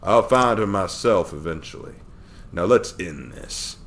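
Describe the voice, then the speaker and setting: A cold, menacing crime lord, as a man reads him aloud, speaking low and drawn out with a sneer. The tone stays bright and edged despite the depth. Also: lively intonation, measured pace, heavily acted. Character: cold menacing male crime boss villain.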